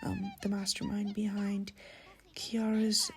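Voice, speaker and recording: A woman's voice: medium pitch, level tone, takes it slowly. A woman narrating a casual recap of a video game story.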